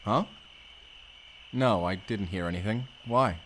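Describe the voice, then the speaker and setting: A man's voice: fairly low pitch, slow pace, a bit bright, a little hiss or hum. A man playing a young Spanish vampire slayer, a teasing and tender protector speaking intimately in an audio roleplay. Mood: weary, evasive. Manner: speaks closely to one listener, teasing and soothing.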